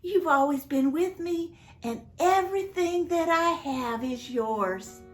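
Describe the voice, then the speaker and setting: A woman's voice: high-pitched, slow, faint music behind. A woman putting on a joyful, exclaiming father. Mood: tender. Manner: exclaiming, laughing, breathless with joy. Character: overjoyed loving father, middle-aged man.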